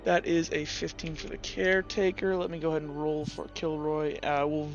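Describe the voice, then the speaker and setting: High-voiced man running a tabletop game. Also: fairly even intonation, normal speed. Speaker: game master. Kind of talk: improvised tabletop game narration and table talk. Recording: dull muffled audio, music behind.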